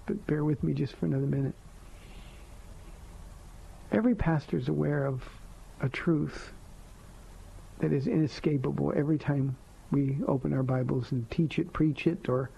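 A soft-spoken man hosting a live call-in radio show as a pastor, answering listener questions in a gentle, earnest and reflective way with slow emphatic phrases, heard over a dull lo-fi broadcast. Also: on the high side, creaky, slightly rough. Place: radio studio.

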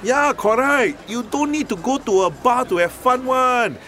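A man's voice: very high voice, expressive, measured pace, sharp tone, a little hiss or hum, full character voice. A man playing a chatty, easygoing Singaporean drinking buddy in a scripted podcast dialogue, talking colloquially with emphatic particles at sentence ends. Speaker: voice actor reading the Singlish part. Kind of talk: scripted casual dialogue in Singlish.

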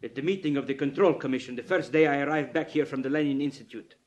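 An actor playing a stern, menacing Soviet party boss with commanding, deliberate delivery in a 1950s radio drama. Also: male voice, medium pitch, highs cut off, lightly acted. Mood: probing. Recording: vintage 1950s radio recording.

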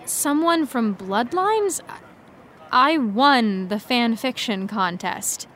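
A woman playing an awkward, evasive high school senior, hesitant and apologetic, in a fiction podcast. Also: expressive, slow, a bit bright, slight background noise. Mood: confused, surprised. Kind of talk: scripted audio drama dialogue.

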